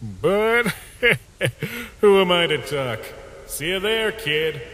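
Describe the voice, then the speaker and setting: A man's voice: sits high, very animated, drawn-out words, a little hiss or hum, full character voice. A man voicing a sardonic, weary skeleton judge in a comic dub, with a dry, taunting drawl. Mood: wry, resigned. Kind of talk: fan comic dub acting. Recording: loud music behind the voice.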